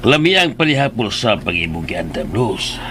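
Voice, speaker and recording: An actor playing an easygoing, affectionate middle-aged father and husband in a radio drama, with expressive delivery, a Filipino accent and English phrases mixed in. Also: on the low side, moderate pace, loud music behind the voice. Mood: casual, teasing.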